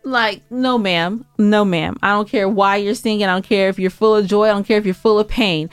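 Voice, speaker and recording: A woman's voice: mid-range voice, steady tone, fairly quick, clear, resonant tone, no room sound. An American woman co-hosting a podcast in casual banter, blunt and emphatic in the way she gives her opinions. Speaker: podcast co-host.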